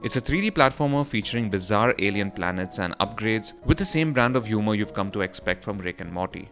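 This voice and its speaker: Low-pitched man narrating over music. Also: animated, fairly quick, a bit bright. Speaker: video presenter narrating a gaming news roundup. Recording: music behind the voice. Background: background music.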